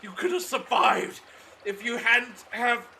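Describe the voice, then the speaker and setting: Male villain, acted, very high and piercing, slow, halting, crazed and vengeful, faint music underneath. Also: vocal fry, sharp tone, slight background noise, heavily acted. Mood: bitter, menacing. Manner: melodramatic, menacing, halting. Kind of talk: fan audio drama acting.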